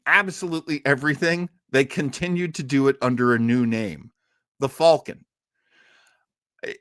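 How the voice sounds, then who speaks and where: Male show host, mid-pitched voice, casual informative storytelling, conversational, emphatic, dramatic pauses, dry recording. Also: a bit bright, no echo. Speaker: host of a live old-time radio listening show.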